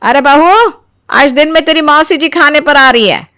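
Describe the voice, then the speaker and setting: A woman acts out a domineering middle-aged mother-in-law from a cartoon moral tale. Her voice sits fairly high and she scolds, grumbles and exaggerates. The sound is very dry, with no room around it. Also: moderate pace, pushed and bright, dry recording. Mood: bossy. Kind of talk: Hindi animated moral story acting.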